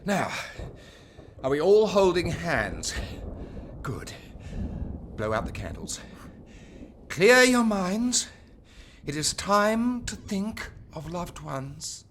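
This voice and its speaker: An actor playing a genteel Victorian gentleman medium in a radio play, theatrical and drawn-out in his delivery. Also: high voice, big pitch swings, a little hiss or hum, exaggerated voice. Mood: calm, solemn. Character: genial, genteel gentleman medium hosting a séance.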